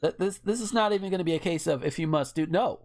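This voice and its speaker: A woman's voice: on the low side, fast talker, darkish tone. A woman hosting a casual podcast chat, reacting with emphatic exclamations. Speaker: podcast host.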